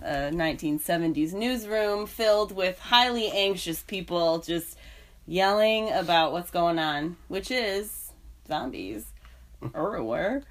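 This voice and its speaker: A woman co-hosting a podcast, stuffy-nosed from a head cold, chatting and laughing casually. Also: medium pitch. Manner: chatty, laughing, tipsy banter. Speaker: podcast co-host. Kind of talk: casual chat.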